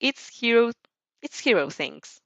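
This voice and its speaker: A woman reading fan fiction aloud as an expressive, theatrical storyteller who now and then stumbles over words. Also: a little high, a little slow, creaky, no room sound, muffled recording. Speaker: fan fiction reader and host. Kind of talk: expressive storybook narration with character voices.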